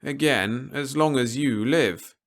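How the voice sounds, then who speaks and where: A man performs a stern, articulate official from an audiobook story. The voice is lifted well above a middle register, with deliberate, emphatic and formal delivery, like a lecture to someone stubborn. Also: medium pitch, pitched above the performer's own voice. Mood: blunt, explanatory. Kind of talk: audiobook character dialogue.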